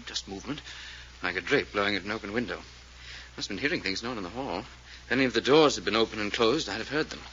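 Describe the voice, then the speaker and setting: An actor playing an excitable, proper British gentleman detective in a 1940s radio thriller. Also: fairly low pitch, fast talker. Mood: uneasy, guarded. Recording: dull, hissy old radio recording.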